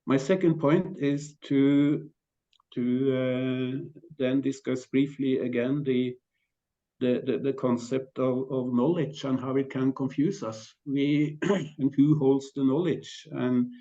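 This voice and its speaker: A man with a Norwegian accent giving a conference presentation, speaking haltingly with repeated words and frequent throat clearing. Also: mid-range voice, steady tone, mellow, muffled recording. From Norway.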